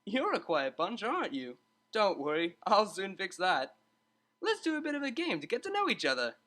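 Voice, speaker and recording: An upbeat stallion tutor acted by a woman in a storybook reading. He is breezy and coaxing, with a lively, swooping pitch and bright tone. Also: normal speed. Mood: playful. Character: cheerful, meddling male pony psychology tutor, unflappable.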